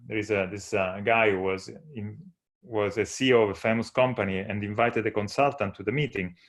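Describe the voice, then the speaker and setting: A man from Italy, a non-native speaker of English with an Italian accent, giving an earnest, explanatory conference talk from slides. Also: lowish voice. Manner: earnest, explanatory, with occasional restarts.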